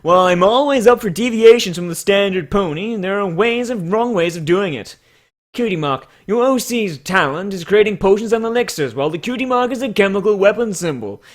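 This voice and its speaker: A man voicing a stern, articulate critic who gives measured, formal judgements in a comic dramatic reading. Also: sits high, lively intonation. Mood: formal, critical.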